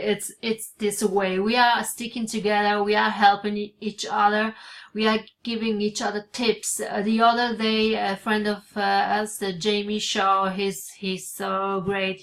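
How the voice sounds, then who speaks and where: Slow hesitant Italian-accented woman. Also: medium pitch, a bit bright. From Switzerland. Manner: hesitant, many fillers and restarts. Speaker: vlogger. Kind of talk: casual vlog to camera. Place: home office with bookshelves, indoors. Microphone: webcam at desk.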